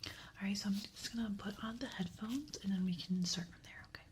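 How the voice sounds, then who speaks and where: A woman whispering gently and reassuringly in a breathy voice, playing a doctor who guides the listener through an ASMR exam. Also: medium pitch, fairly quick, a little hiss or hum.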